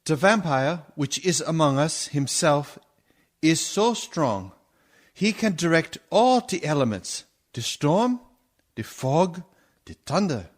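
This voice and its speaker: An actor playing a grave, commanding older professor and vampire hunter in a theatrical radio play, with solemn, oratorical delivery and stilted phrasing. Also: male voice, on the high side, sing-song, takes it slowly, full character voice. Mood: grave, warning. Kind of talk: theatrical radio drama acting.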